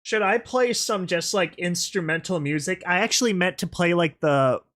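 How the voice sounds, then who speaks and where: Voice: medium pitch, normal speed, a bit bright. A streamer giving casual, goofy, rambling live reactions while videos and songs are played. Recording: music playing behind the voice. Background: music.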